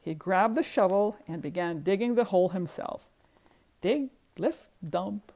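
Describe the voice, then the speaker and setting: Slow, animated woman reading a storybook. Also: medium pitch. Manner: emphatic, rhythmic on repeated words. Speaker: children's book reader. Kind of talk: expressive storybook narration.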